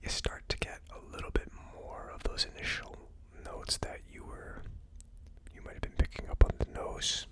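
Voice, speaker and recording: An ASMR host giving a hesitant, thinking-aloud tasting commentary in a breathy, unvoiced whisper. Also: moderate pace. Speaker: whiskey reviewer, ASMR host.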